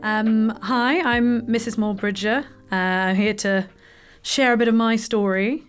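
A woman's voice: a little high, steady tone, unhurried, smooth, faint music behind. A woman telling her story as a podcast guest, hesitant and casual. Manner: hesitant, with fillers. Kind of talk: casual personal account.

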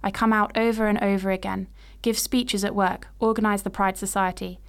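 A woman in her late twenties, from England, hosting a podcast and reading a personal story in a measured, reflective way. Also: medium pitch, level tone, clear, resonant tone. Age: 20s. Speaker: podcast host.

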